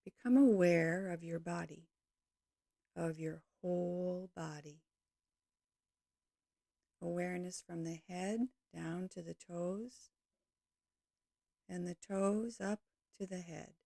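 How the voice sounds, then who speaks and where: A woman leading a guided yoga nidra meditation, speaking calmly and gently in slow, measured short phrases. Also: lowish voice, vocal fry, no echo, clean studio sound.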